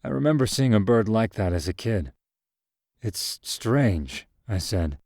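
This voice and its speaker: A man with a very low, deep voice reads a short story in the first person. His pacing is calm and measured. The close studio recording is dry, with no room sound. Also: gravelly, no room sound. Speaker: narrator. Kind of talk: scripted narration of a short story.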